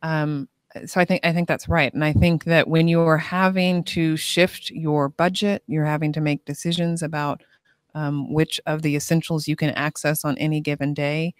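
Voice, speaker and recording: Woman guest, thoughtful and slow to pick words, dry close mic. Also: low-pitched, flat delivery, smooth, no echo. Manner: thoughtful, deliberate. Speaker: clinic guest. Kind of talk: panel podcast discussion.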